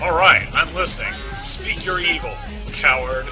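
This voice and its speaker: A man's voice: on the high side, expressive, normal speed, music in the background, lightly acted. A man voicing a heroic young hedgehog in an audiobook, hot-tempered and devoted, with emphatic, emotional delivery. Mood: defiant, urgent.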